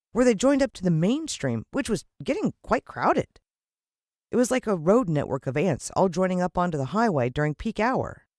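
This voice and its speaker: A woman with an American accent reading a children's story expressively, with dramatic emphasis and deliberate pauses. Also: on the low side, darkish tone, no room sound, studio quality. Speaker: audiobook narrator.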